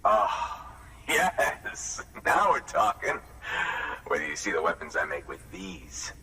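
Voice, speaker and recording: An actor playing a gruff, wary craftsman in a video game, with folksy, plainspoken phrasing. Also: deep voice, big pitch swings, takes it slowly, pushed and bright, distant mic, a little hiss or hum, exaggerated voice, rough recording. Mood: amazed, grateful. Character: gruff, wary but appreciative shelter-dwelling craftsman. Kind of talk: video game cutscene acting.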